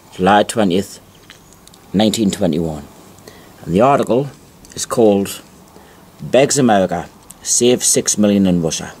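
A man with a lowish voice presents informally, reading printed text aloud. He is deliberate and repeats phrases to stress them. The recording is homemade, with some light noise behind him. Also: a little mellow, poor audio. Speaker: amateur video presenter. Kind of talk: informal show-and-tell narration, reading aloud from newspapers.

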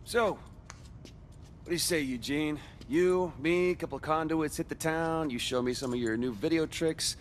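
An actor playing a brash, wisecracking young American rebel with a sarcastic, casual way of talking in a game cutscene. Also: male voice, fairly high pitch, unhurried, a little hiss or hum, close to an everyday voice. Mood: coaxing. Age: young adult.

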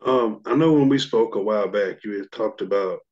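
A man in his thirties hosting an interview over video call, asking questions in a calm, measured way. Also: lowish voice. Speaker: podcast host. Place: small room indoors. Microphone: desk microphone. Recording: dull, lo-fi sound.

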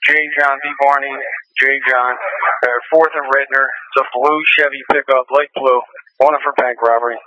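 Bright, forceful male police radio dispatcher. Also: medium pitch, steady tone, moderate pace, lo-fi sound, a little hiss or hum. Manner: clipped, repetitive phrasing. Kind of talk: police radio dispatch, repeating locations and descriptions. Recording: police scanner radio transmission.

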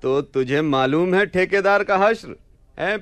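A deluded, pompous young man in a radio drama. His voice is on the high side and excitable, recorded close and dry. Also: unhurried, smooth, dry recording. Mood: haughty, indignant. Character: deluded, haughty young man claiming to be Caliph. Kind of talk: theatrical radio play acting.

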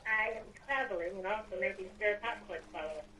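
A woman's voice: mid-range voice, fast talker, sharp tone, slight background noise. A woman chatting casually as she plays a multiplayer roleplay game. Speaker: player in a Minecraft roleplay. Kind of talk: casual gaming chat. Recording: distant voice chat with clear music.

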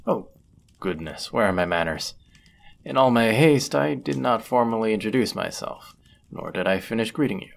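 Fantasy audio drama: man to play amorous, courteous innkeeper and proud charmer, lowish creaky voice, formal and suave. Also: lively intonation, moderate pace. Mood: gracious, attentive. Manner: formal, ornate, suave, teasing phrasing. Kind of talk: scripted roleplay audio drama, addressing the listener.

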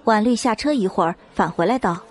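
A woman reading a dramatic novel as an audiobook narrator, in measured storytelling style. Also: medium pitch, animated.

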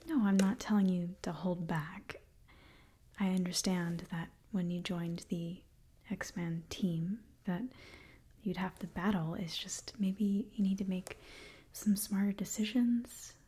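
A woman in her twenties playing a caring, gently scolding doctor in a whispered ASMR roleplay, soft and breathy. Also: medium pitch, takes it slowly. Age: 20s. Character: caring, chiding young female doctor to mutant heroes. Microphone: close microphone.

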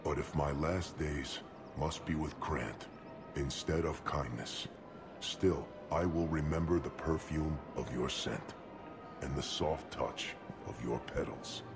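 A hulking alien warrior poet for a video game, solemn and devoted. The voice is extremely deep and very slowly paced, reciting tender verse over clear background music. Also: male voice, exaggerated voice. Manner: slow, solemn poetic delivery. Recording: music playing behind.

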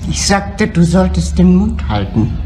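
A woman's voice: on the low side, sing-song, unhurried, noisy background. An actress playing a stubborn, worried middle-aged mother who runs a tavern, speaking in a blunt, guarded way in a crime drama. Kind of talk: German crime drama acting.

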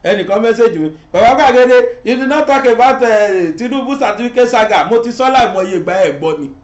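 A man's voice: very high-pitched, quick pace. A middle-aged Nigerian man hosting a political commentary show, talking animatedly and gesturing as he sits in a studio. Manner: animated, switching between Yoruba and English, gesturing. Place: indoor studio set. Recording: roomy, dull lo-fi sound.

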